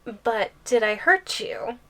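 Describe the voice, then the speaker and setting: Woman acting a slow, teasing giantess girlfriend, higher-voiced. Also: expressive, fairly bright tone. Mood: reassuring, coaxing. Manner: slow, playful, teasing delivery with hums and sighs. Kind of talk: ASMR audio roleplay acting.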